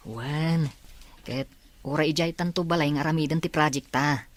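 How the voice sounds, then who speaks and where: A woman playing a kind, encouraging young male student in a radio drama, speaking gently and reassuringly. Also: low-pitched, fairly even intonation, moderate pace, natural voice. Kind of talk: Ilocano radio drama acting. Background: faint background music.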